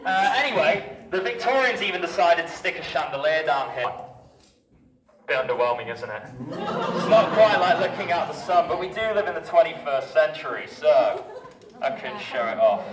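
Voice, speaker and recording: A man's voice: fairly high pitch, animated, normal speed. A man working as a cave tour guide, addressing a group informally with wry, jokey asides. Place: inside an underground cavern. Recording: roomy cave echo, distant, group noise. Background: crowd of tour visitors.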